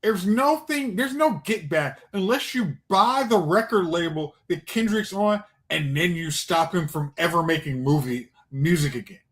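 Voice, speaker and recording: A man's voice: high-pitched, expressive, normal speed, few pauses, a bit bright. A man giving opinions in casual back-and-forth banter as a podcast panelist.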